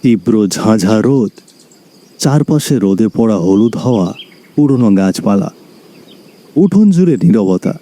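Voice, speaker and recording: A man's voice: fairly low pitch, expressive, measured pace, long pauses, warm tone. A man narrating a scripted cartoon story in a dramatic storytelling style.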